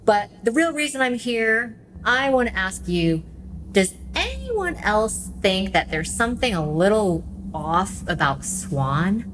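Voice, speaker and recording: Woman playing a showy, slow-paced celebrity influencer. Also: mid-range voice, sing-song, a bit bright, a little hiss or hum, low-quality recording. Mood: gushing, sly. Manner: showy, self-promoting, provocative. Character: gushing, catty female Los Angeles influencer guest celebrity. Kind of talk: radio play acting.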